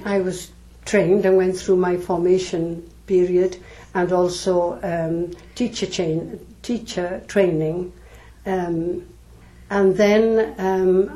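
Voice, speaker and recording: An older woman from South Wales speaks, her voice sitting low and mellow. She answers in a measured, reflective way, pausing often to gather her thoughts. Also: poor audio. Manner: measured, thoughtful, with frequent pauses and ums. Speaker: nun, interview guest.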